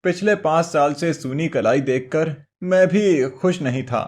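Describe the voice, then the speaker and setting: A man's voice: fairly high pitch, a little slow, darkish tone. A man voicing a dutiful, affectionate younger brother in a cartoon story, earnest and placating. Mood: reassuring. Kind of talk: Hindi cartoon moral story acting.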